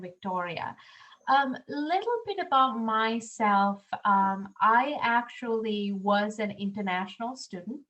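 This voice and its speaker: A woman's voice: a little high, fairly bright tone, dull audio. A woman from Sri Lanka, an international programs director, presenting a webinar in a friendly way with many "um"s. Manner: friendly, filler-heavy speech with many "um"s.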